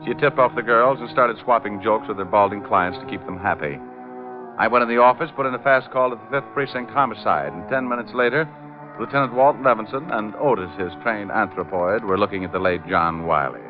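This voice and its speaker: An actor playing a glib, flirtatious private detective who narrates his case with deadpan quips in vintage radio style. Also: fairly low pitch, brisk, close to an everyday voice. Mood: wry narration. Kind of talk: 1940s-50s radio detective acting, hardboiled narration. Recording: muffled old-time radio recording with music.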